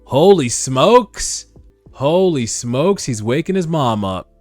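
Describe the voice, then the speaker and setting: A man giving animated, slangy, sometimes profane reaction commentary as host of a read-along. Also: mid-range voice, slow pace. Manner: casual, slangy, profane, excitable. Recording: background music under voice. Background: music.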